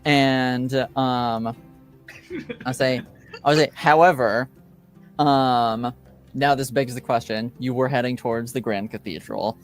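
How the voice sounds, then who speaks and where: A man improvising narration as game master of a tabletop game, in halting, hesitant phrases. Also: mid-range voice, steady tone, faint music behind.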